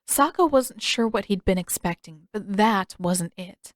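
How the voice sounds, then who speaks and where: A woman's voice: mid-range voice, lively intonation, unhurried, no echo, clean studio sound. A woman reading scripted fiction aloud as the narrator of an audio story. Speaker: podfic narrator.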